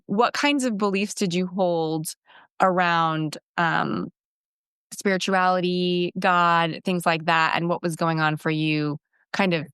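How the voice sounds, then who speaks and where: A woman's voice: on the low side, a bit bright, clear, resonant tone, dry recording, studio quality. A woman hosting a podcast, chatting casually with frequent ums. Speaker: podcast host.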